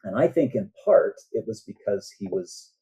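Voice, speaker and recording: A man's voice: fairly low pitch, animated, normal speed, mellow, slightly rough. A man speaking as an author guest on a podcast, explaining things earnestly in a conversational way and often checking in with the listener.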